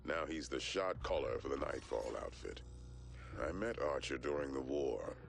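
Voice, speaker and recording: Very deep, gravelly military intelligence briefer. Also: male voice, expressive, moderate pace, full character voice. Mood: grim, matter-of-fact. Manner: clipped, matter-of-fact military slang. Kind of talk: scripted dossier briefing narration.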